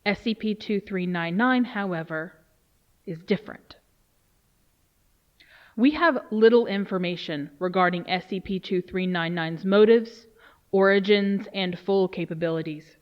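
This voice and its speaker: A woman acting as a grave, resolute research official in an audio drama. She speaks at a middling pitch with solemn, deliberate weight. The recording is a little muffled. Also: close to an everyday voice. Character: grave female research official briefing on a doomsday alien threat. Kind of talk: dramatic scripted monologue.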